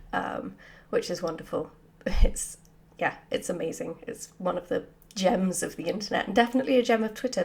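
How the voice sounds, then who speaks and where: A woman chatting casually and answering questions in an animated, giggly, self-deprecating way, as a writer hosting a Q&A. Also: fairly low pitch, vocal fry.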